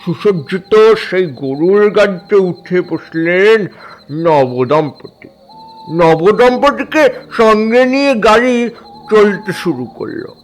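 A man voicing an elderly village uncle who tells a grim tale slowly and gravely in an animated horror story, with faint music behind. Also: high voice. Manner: slow, grave, addresses listener as "baba". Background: faint background music.

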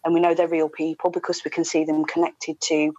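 A middle-aged British woman gives conversational answers as a guest. Her voice is on the low side and stays quite level. The video call sound is slightly dull, with a dry, close feel. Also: completely flat delivery, fairly quick, a little mellow, husky, no echo. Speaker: radio presenter, interview guest. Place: indoor room, video call. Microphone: wired earphones with inline mic.

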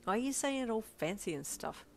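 A woman voicing a brash, deadpan young hothead. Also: on the high side, vocal fry, pitched above the performer's own voice. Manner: slangy, deadpan, quick to snap. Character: brash, hungry, blunt young person. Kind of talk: audiobook character voice.

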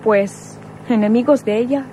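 A woman's voice: a little high, unhurried, mellow, lightly acted, rough recording. An actress playing a mature, strong and serene woman in grief in a radionovela. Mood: reproachful. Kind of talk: radionovela acting. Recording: clear vehicle noise behind.

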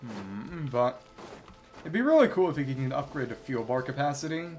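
A man's voice: mid-range voice, sing-song, moderate pace, darkish tone. A man giving casual live commentary as a video game streamer, rambling and thinking aloud as he plays. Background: video game music.

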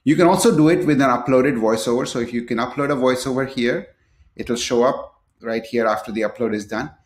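Echoey man giving a software demo. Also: mid-range voice, fairly quick. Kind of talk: screen-share product tutorial, partly unscripted. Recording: echoey room.

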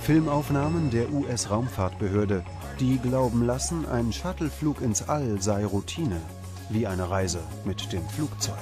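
A man reading scripted documentary narration in a measured, dramatic manner. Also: mid-range voice, animated. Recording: music under the voice. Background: background music.

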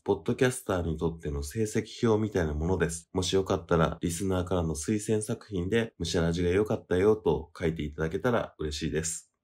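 A man with a very deep voice hosts a podcast. He speaks in a casual, conversational way as he explains things and makes announcements to listeners. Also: darkish tone. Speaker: podcast host.

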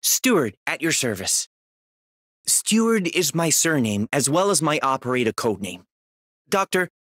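A young cat-like mage from a video game, polite and earnest. His delivery is formal and courteous, with a lively melodic range over a medium-pitched voice. The recording is close and dry. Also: dry recording. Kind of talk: English dub game voice lines.

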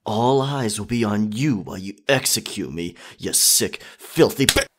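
A man acting out a defiant, roguish, flirtatious captured pirate captain in a husky-voiced ASMR roleplay, with wry, theatrical phrasing. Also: on the low side, expressive, moderate pace. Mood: commanding, bitter. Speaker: audio roleplay performer. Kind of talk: ASMR roleplay acting, one-sided dialogue.

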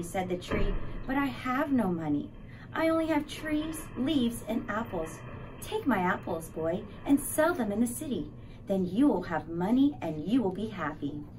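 Female read-aloud voice, medium pitch, animated intonation, distant mic, faint noise. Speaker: elementary school staff reader. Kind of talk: expressive storybook read-aloud.

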